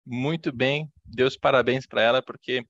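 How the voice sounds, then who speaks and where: A man's voice: medium pitch, steady tone, normal speed, a bit bright, no echo, highs cut off. A man with a Brazilian accent hosting an interview, speaking fluently in a friendly, explanatory way. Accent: Brazilian Portuguese. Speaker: podcast host, interviewer.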